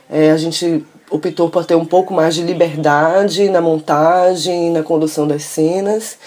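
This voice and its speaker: A middle-aged woman from Salvador, a filmmaker presenting her documentary in a measured, informal way, often adding questioning tags. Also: low-pitched, mellow. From Salvador, Bahia, Brazil. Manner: measured, tags sentences with questioning asides, thoughtful pauses.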